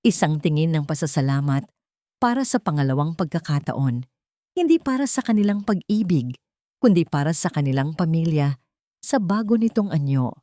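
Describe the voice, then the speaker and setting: Low-voiced Filipino woman narrating a drama, dry sound. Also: big pitch swings, normal speed, warm tone, no room sound. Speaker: storyteller. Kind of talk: scripted dramatic story narration.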